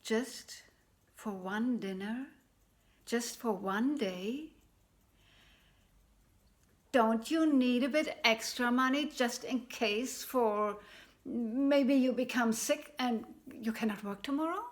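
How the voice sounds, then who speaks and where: A king full of probing questions, curious and a little doubtful, as a woman storyteller plays him. The voice stays slow and slightly high, with a gentle storybook manner. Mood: inquisitive.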